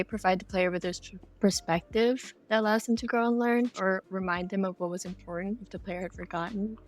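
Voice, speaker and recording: A woman answering earnestly and casually as a creator being interviewed. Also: mid-range voice. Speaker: game creator, interview guest.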